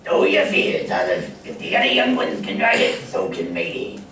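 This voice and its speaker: A man playing a gruff, good-natured cartoon sailor who mutters and stammers in salty talk, in an old-time radio comedy style. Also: low voice, big pitch swings, normal speed, bright, forceful tone, exaggerated voice. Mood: reassuring. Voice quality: gravelly. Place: playhouse stage before a live audience. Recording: echoey hall from far off, faint noise.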